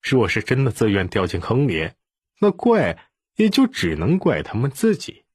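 A man's voice: medium pitch, huge pitch swings, normal speed, a little mellow, breathy edge, dry recording, studio quality. A man reading an audiobook as a scripted, dramatic story narration.

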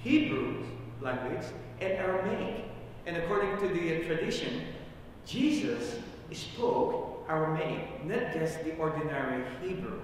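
A middle-aged man preaching a sermon as a pastor, haltingly and repeating phrases for emphasis. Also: fairly high pitch, animated. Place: large church sanctuary, at the pulpit. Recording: very echoey church hall, distant mic, faint noise.

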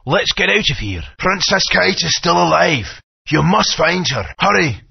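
An actor playing a male guide who gives urgent instructions in a raspy voice. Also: high voice, big pitch swings, normal speed, full character voice. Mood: instructive. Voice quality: raspy, gravelly. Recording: dull lo-fi game audio.

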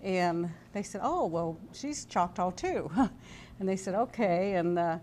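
A woman in her sixties telling a personal story as an interview guest, halting and conversational. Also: fairly low pitch, animated, darkish tone. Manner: halting, conversational, voices quoted dialogue. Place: indoor TV studio-style set at a convention. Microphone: lapel mic. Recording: background noise and room ambience.

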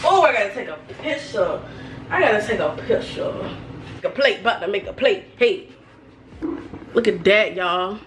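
A woman's voice: medium pitch, lively intonation, normal speed, long pauses, slight background noise. A woman chatting casually to her viewers while cooking in a small home kitchen, playful and sing-song, often calling them "y'all". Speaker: cooking vlogger. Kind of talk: casual cooking chat to camera. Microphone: camera mic, speaker moving around.

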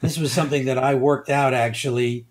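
An older man, a guest scientist, explaining slowly and deliberately, pausing and repeating words as he thinks. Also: medium pitch, steady tone. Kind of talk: unhurried expert explanation.

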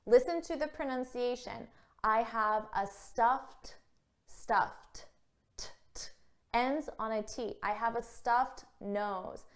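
A woman in her thirties giving a scripted English vocabulary lesson to camera, speaking clearly and carefully and acting out example phrases. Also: a little high, takes it slowly. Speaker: English teacher. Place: small indoor room against a plain wall. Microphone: camera framed at chest height.

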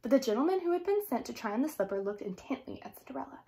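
A young woman reading a children's story aloud in an expressive, theatrical manner. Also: high voice, very fast. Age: young adult. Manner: theatrical, explanatory asides. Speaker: storybook reader. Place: small indoor room at home.

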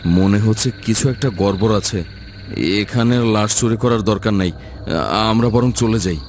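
A man's voice: on the low side, fairly even intonation, normal speed, darkish tone, a little hiss or hum, natural voice. A man playing a stammering timid grave robber. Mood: uneasy. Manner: stammering, fearful.

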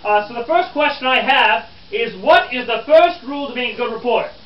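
A man's voice: extremely high pitch, lively intonation, fairly quick, slight background noise, pitched above the performer's own voice. A man playing a pompous, easily exasperated nineteenth-century newspaper reporter who stammers and hectors his pupils in a live stage show. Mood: impatient. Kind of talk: living-history stage performance for an audience. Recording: roomy, distant, dull live audience recording.

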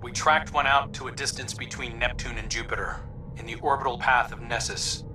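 A man acts out an earnest game scout delivering a sober report. His mid-pitched voice is measured and serious. It sits a little away from the mic, with clear music behind it. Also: steady tone, distant mic, lightly acted, poor audio. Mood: grave, measured. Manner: measured, grave, deliberate reporting. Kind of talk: scripted video game dialogue.

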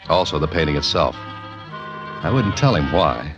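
An actor playing a cool, wisecracking amateur sleuth in a 1940s radio mystery, with a deadpan, clipped delivery. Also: male voice, a bass voice, unhurried. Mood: cool, pensive. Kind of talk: 1940s radio mystery acting, first-person narration. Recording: muffled old radio recording with music.

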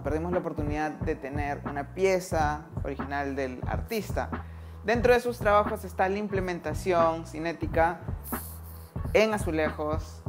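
A middle-aged man hosting a casual design news vlog from his studio, announcing each section with a lift. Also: high-pitched. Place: studio room with chalkboard wall and desks. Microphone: earphone headset mic.

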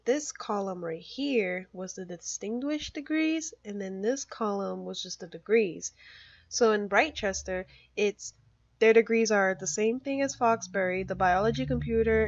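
A woman's voice: medium pitch, no echo, lo-fi sound. A young woman, a mother, chatting casually through a let's play, filling her talk with "like" and little giggles. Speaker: gaming YouTuber.

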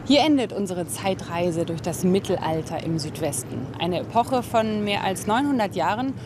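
Woman, presenter, outdoor, clear traffic noise, middle pitch. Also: expressive, moderate pace. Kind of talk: presenting and interviewing.

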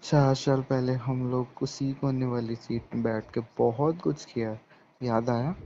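A man's voice: medium pitch, fairly even intonation, unhurried, mellow, a little hiss or hum, lightly acted. A young man playing a jealous, accusing ex-boyfriend in a staged phone call, insistent and repeating himself. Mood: accusing, hurt. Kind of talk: staged phone-call conversation in casual Hindi. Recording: dull lo-fi phone-call sound.

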